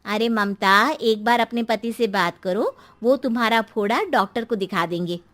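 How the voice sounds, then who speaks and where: A woman plays a practical neighbour lady in a cartoon story. Her voice is high. She sounds concerned and gives urgent advice. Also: normal speed, a bit bright, close to an everyday voice. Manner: urging, advising.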